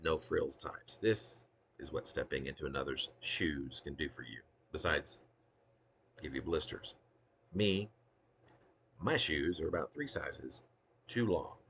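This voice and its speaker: A man's voice: low voice, creaky, fairly bright tone, slight background noise. A man giving a dramatic, wry reading of a humorous autobiographical poem, with theatrical emphasis. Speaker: poet reading own work.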